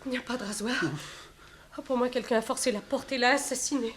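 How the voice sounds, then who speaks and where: Actress as an insistent secretary, echoey high voice. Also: female voice, steady tone, fast talker, a bit bright, lightly acted. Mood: horrified. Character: brisk, polite, insistent female secretary. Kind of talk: French radio detective drama acting.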